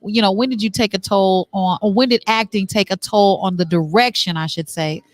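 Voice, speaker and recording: A woman hosting an on-location interview show, chatting in an animated, playful, casual way. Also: medium pitch, fairly quick, dry recording. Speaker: talk show host. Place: busy venue backstage after a stage play. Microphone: microphone with headphone monitoring.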